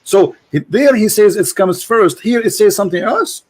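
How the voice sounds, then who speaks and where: Insistent high-voiced man pressing questions. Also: animated, normal speed, slightly rough. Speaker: debate host. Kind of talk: heated debate over a call.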